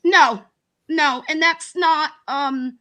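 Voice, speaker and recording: A woman caller arguing loudly and insistently in a live chat, often restarting and repeating her words. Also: high voice, drawn-out words. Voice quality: loud projecting voice. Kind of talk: heated debate on a live chat.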